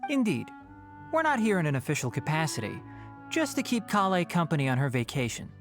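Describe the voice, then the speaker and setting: A woman's voice: deep voice, sing-song, brisk, soft background music. A woman voicing a polite, wry young male forest watcher with a courteous manner in a video game. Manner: courteous, mildly exasperated.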